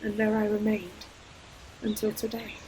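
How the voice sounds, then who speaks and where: A woman performing an ancient female forest demon in an audio roleplay, solemn, poetic and deliberate, a tender and sorrowful protective old friend. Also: medium pitch, little pitch movement, dark tone, a little hiss or hum, natural voice, low-quality recording. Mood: mournful. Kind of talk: scripted audio roleplay monologue, slow dramatic storytelling. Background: ambient environmental sound effects.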